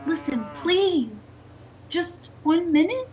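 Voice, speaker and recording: A woman's voice: extremely high pitch, sing-song, slow pace, darkish tone, exaggerated voice. A woman playing a frightened, desperate lady driver in a radio thriller, urgent and dramatic. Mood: desperate pleading. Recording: music behind the voice.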